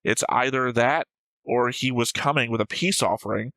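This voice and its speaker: A man with a very bright, forceful voice at a middle pitch, recorded dry and close. He hosts a reaction show and argues through story theories casually, thinking out loud as he goes. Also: normal speed, no echo. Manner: argumentative, reasoning aloud, swears casually. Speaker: host of an anime reaction show. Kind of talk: casual discussion of plot theories.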